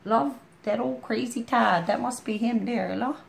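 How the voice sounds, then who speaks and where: A hoarse woman with a chest cold, rambling in a folksy, heartfelt way as she chats to a friend. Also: on the high side, normal speed, a little hiss or hum. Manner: rambling, folksy, full of "you know". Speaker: storytime vlogger. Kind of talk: casual heartfelt chat to a friend.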